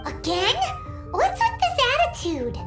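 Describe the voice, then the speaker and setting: A woman voicing a tiny, childlike fairy companion in a video game, squeaky and chirpy. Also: huge pitch swings, measured pace, reverberant, over loud music, exaggerated voice. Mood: concerned. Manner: refers to self in third person, squeaky. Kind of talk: video game story voice acting.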